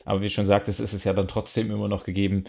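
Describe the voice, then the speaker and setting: A man's voice: lowish voice, flat delivery, very fast, creaky, darkish tone. A man hosting a podcast interview in casual talk, reflective, speaking in long winding sentences. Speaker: podcast host interviewing a guest.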